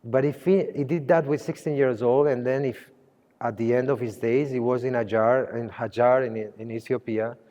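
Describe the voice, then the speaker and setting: A man who grew up in Spain, speaking English as a second language, talks about his art in a halting way, often restarting and searching for words. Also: mid-range voice, steady tone, mellow, big-room sound. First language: Spanish. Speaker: artist. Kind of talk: gallery conversation.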